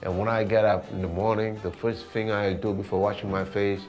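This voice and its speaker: A man's voice: lowish voice, flat delivery, brisk, darkish tone, faint music behind. A man with a Senegalese accent talking informally in an interview as an artist, often repeating words and phrases. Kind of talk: casual interview talk.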